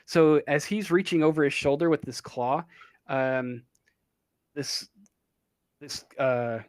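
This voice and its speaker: Man, game master, slow, halting, lots of fillers, slightly high voice, dry. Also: smooth, no echo. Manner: halting, many ums and uhs. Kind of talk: narrating a tabletop game. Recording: online voice chat.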